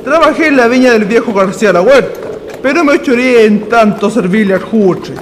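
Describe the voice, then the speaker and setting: A man's voice: extremely high pitch, animated, moderate pace. A man playing a chatty, folksy Chilean farm labourer in a radio drama, with colloquial rustic speech. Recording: reverberant, slightly noisy radio drama.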